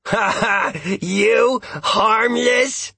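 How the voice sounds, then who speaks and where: A man voicing an excitable, playful male dog who narrates a story in a chatty, goofy, raspy voice. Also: mid-range voice, unhurried, no echo, heavily acted. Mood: mocking. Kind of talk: first-person audiobook narration.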